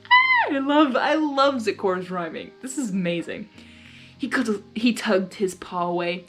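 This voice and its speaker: A woman reading fan fiction aloud with expressive narration and enthusiastic, chatty asides. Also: medium pitch, measured pace, over loud music. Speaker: fanfiction reader.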